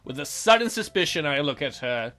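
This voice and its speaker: A woman's voice: sits low, sing-song, a little fast, fairly bright tone, soft background music. A woman reading a visual novel aloud as a let's play host, breaking in with playful, jokey commentary.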